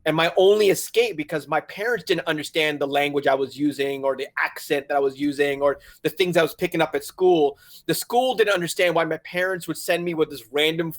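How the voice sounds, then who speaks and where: A man in his forties from Hong Kong, a website founder telling his story in a casual interview, animated and laughing often as he acts out his own past thoughts. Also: a little high, fairly quick, few pauses. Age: 40s.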